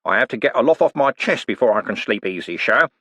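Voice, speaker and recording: A man's voice: medium pitch, lively intonation, quick pace, a bit bright, husky, no echo. A man doing a wheedling con man preacher. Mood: mock-solemn. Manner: wheedling, mock-pious, folksy slang. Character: sly older male con man posing as preacher.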